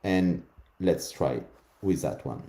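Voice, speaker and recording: Deep French-accented man giving a demo. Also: expressive, takes it slowly, mellow, roomy sound. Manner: calls out voice commands between explanations. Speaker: conference speaker. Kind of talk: live demo talk.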